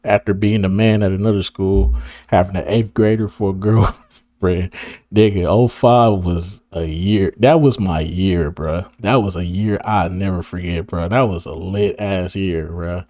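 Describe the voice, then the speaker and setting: Hoarse laid-back man rambling. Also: low-pitched, measured pace, warm tone, dry recording, studio quality. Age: about 30. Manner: laid-back, rambling, heavy slang, laughs a lot. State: hoarse, losing his voice. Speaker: video creator. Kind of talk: casual reminiscing monologue to camera.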